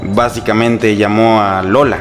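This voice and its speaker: A man's voice: fairly low pitch, fairly even intonation, a little slow. A man with a Mexican accent narrates history from a script in a humorous, sarcastic and colloquial storytelling style. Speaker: host and narrator. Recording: music bed under the voice. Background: background music.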